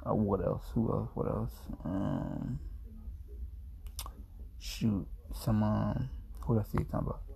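A man's voice: lowish voice, steady tone, slow, vocal fry, pauses often, warm tone, slightly rough. A man in his twenties talking to camera in a laid-back, meandering, self-mocking way, sounding sleepy. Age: 20s. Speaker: vlogger. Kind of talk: rambling casual monologue to camera.

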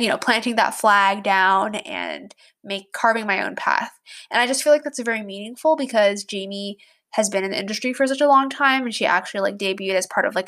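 A woman's voice: mid-range voice, gravelly, fairly bright tone. A young woman in her twenties hosting a casual podcast, chatty with lots of fillers and restarts, her voice stuffy and congested. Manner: chatty, heavy use of "like", many restarts. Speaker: podcast host.

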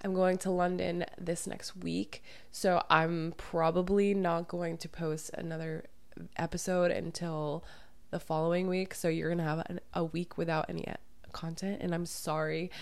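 A woman's voice: on the low side, little pitch movement, normal speed, gravelly, darkish tone, no room sound. A young woman who has lost part of her voice to illness, hosting a casual solo podcast as a dating coach and talking candidly with some swearing. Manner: candid, swears freely, laughs easily. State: hoarse, losing her voice from illness.

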